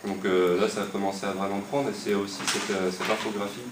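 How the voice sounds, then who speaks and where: A man's voice: fairly low pitch, little pitch movement, a little fast. A young man from western France explaining, hesitantly and with many fillers, how he built a website. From Maine-et-Loire, France. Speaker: website creator interviewed. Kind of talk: casual spoken explanation. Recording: echoey room, mic far off, noisy.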